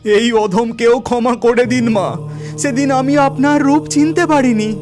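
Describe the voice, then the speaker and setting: A man's voice: extremely high pitch, a little slow, over music. A man voicing an earnest, devout village husband in a cartoon story. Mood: anxious pleading.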